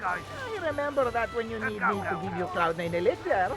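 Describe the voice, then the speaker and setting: A man's voice: high voice, very animated, normal speed, over music. A man playing a bossy, bickering pirate ship's cook in an audio drama.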